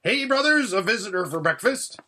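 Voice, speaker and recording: A man voicing an excitable, bossy but kindly red dwarf from a storybook, with loud, urgent exclamations. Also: high voice, a little slow, breathy edge, voice pushed up, exaggerated voice. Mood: bustling concern.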